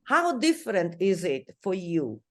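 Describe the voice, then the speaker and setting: Italian woman interviewer, low-pitched, admiring, halting phrasing. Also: sing-song, a bit bright. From Italy. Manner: admiring, searching for words.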